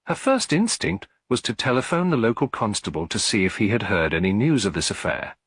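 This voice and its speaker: Male audiobook narrator, low husky voice, expressive intonation, scripted mystery storytelling. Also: a little fast, no echo, studio quality.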